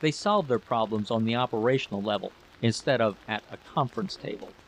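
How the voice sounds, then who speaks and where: A man's voice: on the low side, a little fast, a little hiss or hum, natural voice, poor audio. A man reading the part of a curt, suspicious American military man in a story. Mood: conceding. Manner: curt, challenging.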